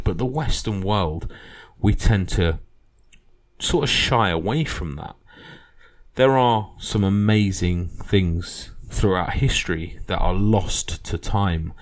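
A man hosting a history podcast, talking casually and earnestly with plenty of fillers and restarts. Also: low-pitched. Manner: rambling, earnest, many fillers.

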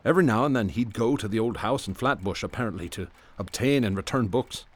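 A man's voice: fairly low pitch, quick pace, natural voice. An actor playing a wry, dogged male New York police detective in a radio drama, sardonic in his delivery. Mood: reflective. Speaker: detective and narrator. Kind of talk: radio drama dialogue and narration.

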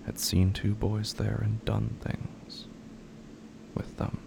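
A man narrating a scripted horror story in a deliberate, ominous manner. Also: lowish voice, flat delivery, gravelly, noisy background.

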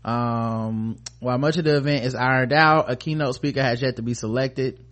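A man's voice: medium pitch, fairly even intonation, moderate pace, a bit bright. An American man hosting a podcast, giving casual, comedic news commentary in an irreverent, sarcastic, sweary way. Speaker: podcast host.

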